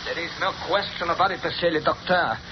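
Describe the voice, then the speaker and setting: A man's voice: on the high side, quick pace, muffled recording, close to an everyday voice. An actor playing a formal, nervous French henchman in 1930s radio drama style, on a noisy old radio recording. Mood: wary. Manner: formal, careful speech.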